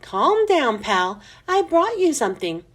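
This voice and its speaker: A woman's voice: sits high, sing-song, unhurried, a bit bright, full character voice. A woman voicing a friendly young male janitor, casual and earnest, in a children's storybook reading. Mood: chummy, earnest. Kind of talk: children's storybook read-aloud.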